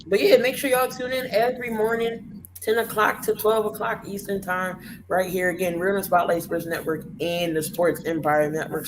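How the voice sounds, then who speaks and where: A man hosting a sports morning show, his voice hoarse and worn out. He talks in a loose, rambling, folksy way. The recording carries a clear wash of background noise. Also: sits high, fairly bright tone, rough recording. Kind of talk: casual sports talk show sign-off.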